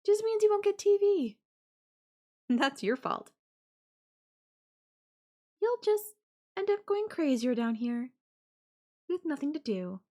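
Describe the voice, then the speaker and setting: A woman acts out a possessive yandere girlfriend for an intimate audio roleplay. Her voice sits fairly high yet stays soft and mellow, and she takes her time. She coos and coaxes like a doting lover, with menace underneath. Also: big pitch swings, takes it slowly, pauses often, exaggerated voice. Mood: mocking, punishing. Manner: coaxing, cooing, teasing with veiled threat. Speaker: voice actor.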